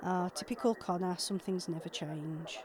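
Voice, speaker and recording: A woman acting an irritable, sharp-tongued, sarcastic middle-aged mother and ex-wife in an audio drama. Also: fairly low pitch, moderate pace, close to an everyday voice. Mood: exasperated sarcasm.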